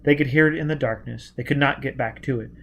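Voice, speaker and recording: A man reading an adventure story aloud as dramatic, deliberate, intense narration. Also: medium pitch, vocal fry, fairly bright tone, a little hiss or hum. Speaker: audiobook narrator.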